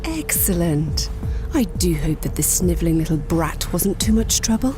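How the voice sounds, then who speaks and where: A woman's voice: lowish voice, lively intonation, normal speed, lightly acted. An actress playing a curt, contemptuous female villain boss in an audio drama, clipped and commanding. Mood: cold satisfaction. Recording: music under the voice.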